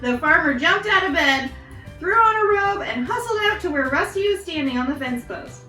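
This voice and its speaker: A woman hosting storytime, reading picture books aloud to children in an expressive, encouraging way. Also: sits high, moderate pace, roomy sound, recorded from a distance, faint music behind. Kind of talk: animated read-aloud to children.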